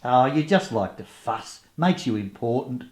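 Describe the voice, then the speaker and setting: A man's voice: medium pitch, animated, a little slow, close to an everyday voice. A man playing a jaded, sardonic older radio actor in a comedy about a long-running serial. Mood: sardonic, weary.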